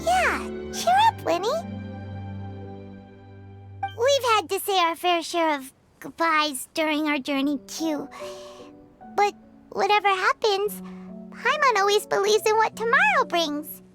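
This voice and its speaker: A woman's voice: very high-pitched, sing-song, unhurried, fairly bright tone, exaggerated voice. A woman voicing a tiny, bubbly and pushy female fairy companion in a video game, who talks of herself in the third person and pleads in a whiny way. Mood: comforting. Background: game music.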